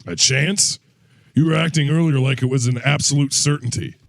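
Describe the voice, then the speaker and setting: A man's voice: medium pitch, normal speed, creaky, dry recording, natural voice. A man playing a wry, tired, plain-spoken hero in casual audio drama acting.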